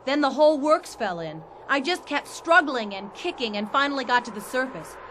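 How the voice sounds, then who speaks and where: High-voiced, plucky woman adventurer. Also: animated, moderate pace, a little hiss or hum. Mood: tense, recounting. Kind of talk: 1990s science fiction radio drama acting. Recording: loud ambient sound effects behind.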